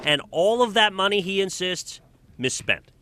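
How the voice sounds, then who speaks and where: A man's voice: high-pitched, lively intonation, moderate pace, sharp tone. An American man working as an investigative reporter, delivering scripted broadcast news narration.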